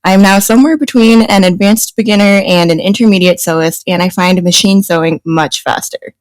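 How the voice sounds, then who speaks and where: A woman's voice: mid-range voice, brisk, no echo, poor audio. A young woman from New Jersey co-hosting a casual podcast, reading a scripted intro and then chatting loosely with fillers. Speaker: podcast co-host.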